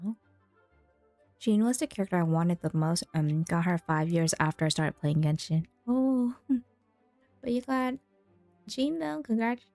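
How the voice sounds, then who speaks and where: A woman's voice: on the low side, animated, a little slow, long pauses, warm tone, no echo. A woman streaming a video game, chatting casually in a rambling way. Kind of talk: casual gameplay commentary, reading dialogue aloud. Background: game music.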